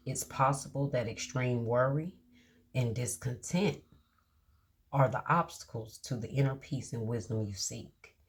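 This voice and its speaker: Husky-voiced woman, casual tarot reader, slow and reassuring. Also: a bass voice, a little mellow. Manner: drawn-out, reassuring, many "okay?" check-ins; reads card meanings aloud slowly. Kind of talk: casual tarot reading to viewers.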